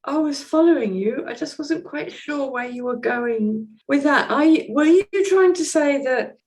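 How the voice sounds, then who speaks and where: A woman speaking as a podcast guest in a measured, considered, plain-spoken conversational way about literature. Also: mid-range voice.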